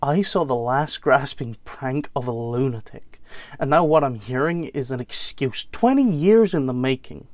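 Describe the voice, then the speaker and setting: A man acting a bitter, wounded American son confronting his mother in a dramatic monologue, halting and accusing, with an American accent. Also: mid-range voice, animated, no echo, close to an everyday voice.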